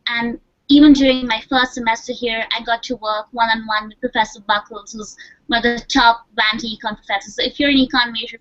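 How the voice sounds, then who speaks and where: A woman's voice: a little high, a bit bright, dry recording. A young woman from India, a university student on a panel, talking in an upbeat, chatty way. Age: young adult. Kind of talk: casual panel talk. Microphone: video call. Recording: dry, dull video call audio.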